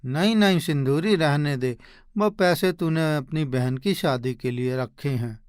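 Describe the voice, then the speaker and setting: A man voicing a poor, humble woodcutter husband in an animated moral story, earnest and expressive. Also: on the high side, a little slow, clear, resonant tone, dry recording, clean studio sound, natural voice. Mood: dejected, reluctant.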